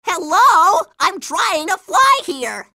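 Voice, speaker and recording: A cocky cartoon boy pilot blusters through a video game adventure. The voice is high, bright and dry, excitable and jittery. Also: takes it slowly, creaky, dry recording, heavily acted. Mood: exasperated.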